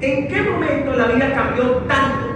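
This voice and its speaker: A man's voice: very high voice, animated, moderate pace. Male motivational speaker, Venezuelan accent, emphatic and repetitive delivery, heard far from the mic in a reverberant hall. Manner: emphatic, repeats phrases for effect. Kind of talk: motivational speech to a live audience. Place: large hall with audience, crowd noise behind. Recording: echoey hall, distant mic, noisy crowd.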